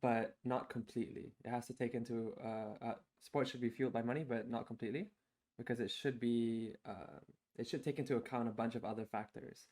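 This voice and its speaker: A man's voice: mid-range voice, steady tone, a little fast, darkish tone. A man in his twenties co-hosting a casual podcast, thinking aloud with many restarts and fillers. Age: 20s. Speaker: podcast co-host.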